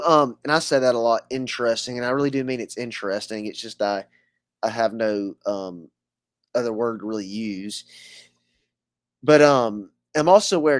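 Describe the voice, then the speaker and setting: A man with a middle-pitched voice that has gone a little scratchy. He hosts the interview in a halting, filler-heavy way. The sound is dry and close to the mic. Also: clear, resonant tone, no room sound. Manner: hesitant, many fillers and restarts. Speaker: podcast host. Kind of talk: casual podcast interview.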